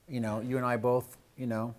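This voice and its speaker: A man hosting a casual interview, speaking in measured, affirming short phrases. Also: medium pitch, flat delivery. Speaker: radio show host.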